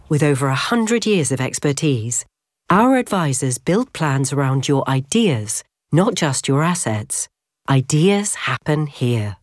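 A woman voicing a scripted advert, with a very low voice that moves expressively. She reads calmly and deliberately, each line placed with care. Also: a little hiss or hum. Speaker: advert voice-over. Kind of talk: scripted ad narration.

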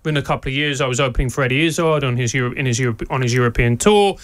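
A man with a South London accent whose first language is Spanish, a former teacher and podcast co-host, talking in an interview with wry, lively storytelling. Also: mid-range voice, racing. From England. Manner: lively anecdotal delivery with wry humour and laughs.

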